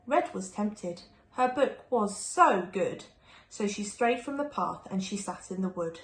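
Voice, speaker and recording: A woman's voice: medium pitch, expressive, a little slow. A woman reading a rhyming children's storybook aloud expressively, like a primary school teacher. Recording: echoey room, faint background noise.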